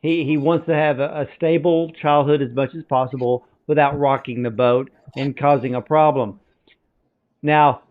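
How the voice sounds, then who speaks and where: A man hosting a film interview, asking questions in an eager, chatty way and often restarting his sentences. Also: on the high side. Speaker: film podcast host. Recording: tinny phone-like line.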